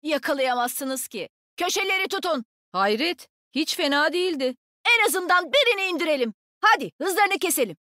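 Casting: nimble young female game agent. Bright-spirited taunter, very animated delivery, sassy and sure of herself, dry close studio sound. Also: sits high, moderate pace, dry recording, heavily acted. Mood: cocky, taunting. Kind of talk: video game combat voicelines.

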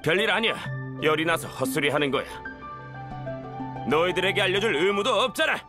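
An arrogant young inventor villain from a video game. His voice is fairly high and moves animatedly, dismissive and irritable. Music plays clearly behind him. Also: expressive, fairly quick. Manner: haughty, dismissive, snappish.

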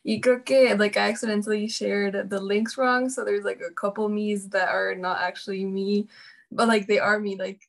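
Gravelly flat-voiced woman. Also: medium pitch, normal speed. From Mexico City. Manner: casual, mixes Spanish and English, chatty. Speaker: exhibiting artist. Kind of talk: casual artist talk on a video call. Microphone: video call.

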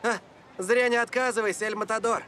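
An actor voicing a friendly, encouraging young teammate in a dubbed cartoon. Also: male voice, high voice, fairly even intonation, a little fast, bright, forceful tone, faint music behind. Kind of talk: cartoon dubbing.

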